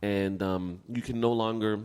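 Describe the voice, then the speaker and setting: Male American co-host, low-leaning voice, emphatic, informal debate style, reverberant recording. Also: fairly even intonation, a little slow, darkish tone, smooth. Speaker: podcast co-host. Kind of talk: casual political chat. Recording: long echoing reverb.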